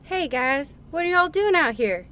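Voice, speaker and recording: A woman's voice: sits high, animated, a little slow, pushed and bright, a little hiss or hum, exaggerated voice. A woman playing an eager little country boy in a comic radio play. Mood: eager, friendly. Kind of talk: comic radio theatre acting.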